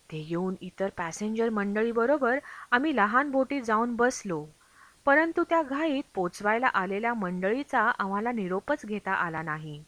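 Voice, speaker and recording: Bright-voiced woman reading an audiobook. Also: medium pitch, no room sound. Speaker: audiobook reader. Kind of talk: scripted narration of a travel memoir.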